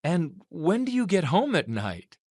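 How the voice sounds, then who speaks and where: Woman acting a curious conversation partner, low voice, hugely animated intonation, scripted lesson dialogue. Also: measured pace, no room sound, clean studio sound. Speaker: voice actor in English lesson dialogues.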